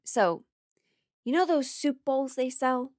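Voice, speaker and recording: A woman's voice: high-pitched, expressive, slow pace, a little mellow, no echo, studio quality. An American woman telling a casual comedic stand-up story, playful and theatrical, stretching words for emphasis and dropping mock-serious asides.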